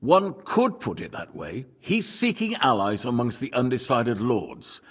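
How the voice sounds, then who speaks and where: Husky, courteous medieval lord. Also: male voice, medium pitch, huge pitch swings, unhurried, exaggerated voice. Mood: wry, cautious. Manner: formal, guarded, hesitant. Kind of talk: dramatic video game cutscene acting.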